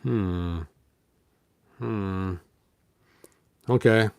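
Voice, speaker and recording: A man's voice: lowish voice, very slow, gravelly, clean tone, dry recording, clean studio sound. A man reading a children's story aloud, breaking off for playful, rambling, wry asides in a casual way. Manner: playful, rambling, tosses in sound effects and wry remarks. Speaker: host of a toy and storybook show.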